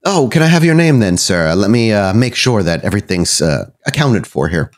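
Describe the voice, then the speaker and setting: A polite young man at a reception desk, as a male game master plays him. He is courteous and formulaic, with a trained corporate smoothness, in a tabletop game. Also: on the low side, very animated, moderate pace. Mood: politely professional.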